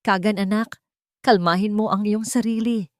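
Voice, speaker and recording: A woman voicing a grave, gently calming elderly grandmother in a dramatic story. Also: medium pitch, normal speed, dry recording, studio quality, pitched above the performer's own voice, lightly acted. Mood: soothing.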